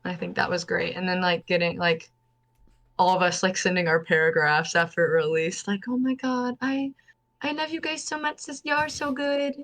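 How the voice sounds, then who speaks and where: A woman's voice: on the low side, steady tone, moderate pace, a bit bright. A young woman, a band's singer, telling stories casually in a call interview. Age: young adult. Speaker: band vocalist and clarinetist.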